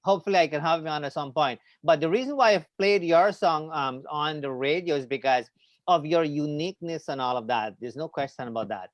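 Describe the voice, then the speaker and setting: A man's voice: a little high, fairly quick, dry recording. A middle-aged man hosting an interview show over a video call from home, friendly and rambling with many fillers. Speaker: radio show host. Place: home room with trophies and bookshelf. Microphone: video call webcam.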